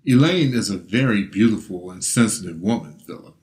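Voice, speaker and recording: A man voicing a smug billionaire businessman who speaks with annoying calm. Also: fairly low pitch, a little fast, lightly acted.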